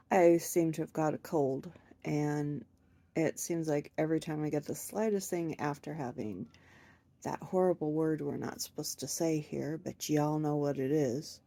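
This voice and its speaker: A woman's voice: sits low, unhurried, gravelly, warm tone. Stuffed-up woman vlogging with a cold. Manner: rambling, self-deprecating with frequent laughs. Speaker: crochet vlogger. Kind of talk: casual chat to camera.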